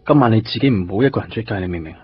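A man's voice: on the low side, animated, very fast, slight background noise, close to an everyday voice. An actor playing an earnest, protective male police detective in a Cantonese radio drama, speaking in short, clipped phrases. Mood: concerned, urging. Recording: dull band-limited radio broadcast, music behind.

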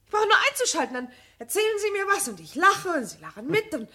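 A jealous, shallow wife who lives for television, in 1970 German radio play style. Her voice is high and swings widely as she frets and repeats herself petulantly. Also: big pitch swings, fast, sharp tone, heavily acted. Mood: fed up. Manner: petulant, agitated, repeating words.

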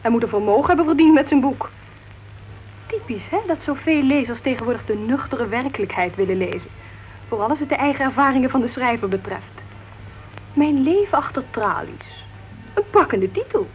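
An actress playing an outspoken, flirty married woman who writes crime novels, brisk and blunt, in a Dutch radio play. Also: high-pitched, expressive, faint music behind. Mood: teasing, opinionated. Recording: dull, noisy old radio recording.

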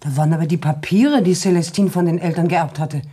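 A stubborn country woman from a farm, with a fairly low voice. She speaks in a plain, guarded way, as in a classic radio play. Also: a little fast, mellow, breathy edge. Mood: earnest, insistent. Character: stubborn, distrustful young farm woman, later contrite. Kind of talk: German radio drama acting.

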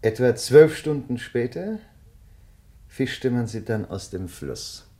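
Probing, brooding man, lively intonation. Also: medium pitch. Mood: pointed, probing. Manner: interrogating, pointed, deliberate. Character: probing, suspicious man crime writer, brooding and insistent. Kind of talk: German radio drama dialogue.

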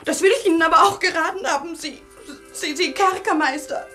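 An actress playing a plaintive, self-pitying young gangster's wife in a satirical radio crime comedy. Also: female voice, very high-pitched, a little fast, bright, forceful tone, soft background music. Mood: indignant.

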